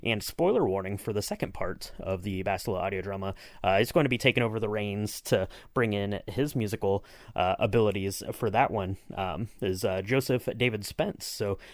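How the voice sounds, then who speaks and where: Low-voiced man hosting a podcast. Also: moderate pace, no room sound, studio quality. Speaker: podcast host. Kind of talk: casual podcast chat.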